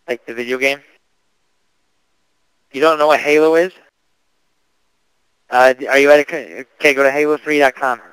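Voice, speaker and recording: A young man speaks casually on a prank call. His voice is clear and dryly recorded, with little room sound. He plays the earnest customer, slangy and full of questions. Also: medium pitch, a bit bright, no echo, poor audio. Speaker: prank caller.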